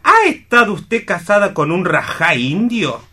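Woman as young male reporter, eager, starstruck, glib, swooping intonation, bright forceful voice, radio play. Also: fairly low pitch, big pitch swings, unhurried, breathy edge, exaggerated voice. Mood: starstruck, excited. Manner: effusive, businesslike patter.

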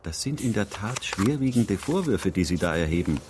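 A senior ministry official in a radio drama. His low voice is officious and dismissive, full of condescending scepticism, with clear noise behind it. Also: sing-song, normal speed.

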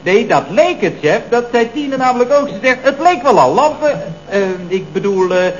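A man's voice: very high-pitched, sing-song, moderate pace, slight background noise. An actor playing an obliging, apologetic male assistant in a radio comedy, deferential and hesitant with his boss. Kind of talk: 1970s radio comedy acting. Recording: dull old radio comedy recording.

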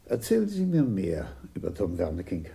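An actor playing a businesslike police detective in a German radio crime drama, brisk and probing. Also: male voice, low-pitched, huge pitch swings, creaky, dark tone, full character voice. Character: businesslike male police detective questioning people.

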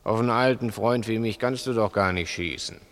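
A man's voice: fairly low pitch, brisk, lightly acted. An actor playing a condescending burglar who slyly needles his partner, in a 1960s radio play. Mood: sly, mocking. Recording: 1960s radio drama, reverberant stairwell sound.

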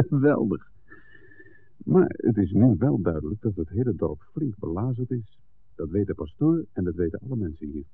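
A man's voice: on the low side, very animated, moderate pace, breathy edge, heavily acted. An actor playing a practical, suspicious man in a 1960s radio drama, talking in a blunt, colloquial way. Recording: muffled old radio recording.

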